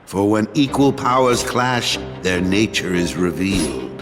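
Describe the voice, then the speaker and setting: An actor playing a stern, solemn older master in a video game, formal and measured, with echoing authority. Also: male voice, low-pitched, animated, over music. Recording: long echoing hall reverb.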